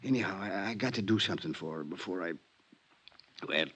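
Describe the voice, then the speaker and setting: An actor playing a dying small-time crook in a 1950s radio drama, hoarse and weak, with halting slangy tough-guy talk. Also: low-pitched, expressive, a bit bright, heavily acted. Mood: halting, urgent. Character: dying male convict, small-time grifter, resigned and wry. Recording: vintage 1950s radio recording.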